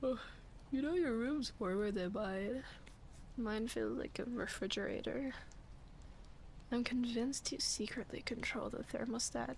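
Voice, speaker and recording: A woman acting as a sleepy, flustered young roommate in an intimate roleplay ASMR, murmuring hesitantly and often whispering, shy and playful. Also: on the high side. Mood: drowsy, teasing. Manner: hesitant, halting, breathy murmuring with sighs. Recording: clear background ambience noise. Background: rain ambience.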